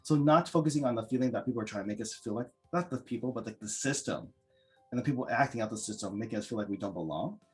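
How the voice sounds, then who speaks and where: A man with a slightly husky, breathy voice leads a group discussion, speaking with eager encouragement and often repeating words. Faint music plays quietly behind him. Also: medium pitch, expressive, fast talker. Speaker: orientation facilitator.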